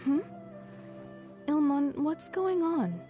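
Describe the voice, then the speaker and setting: An actress playing a gentle, devoted young mother in an anime game, polite, tender and soothing. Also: sits high, very animated, slow pace, full character voice. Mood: puzzled, soothing. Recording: music playing behind the voice.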